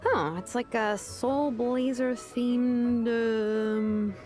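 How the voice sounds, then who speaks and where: A wry, deadpan craftswoman. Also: on the high side, drawn-out words, soft background music. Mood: sardonic. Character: dry, practical young woman craftswoman, reluctant vice captain. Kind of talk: video game voice acting.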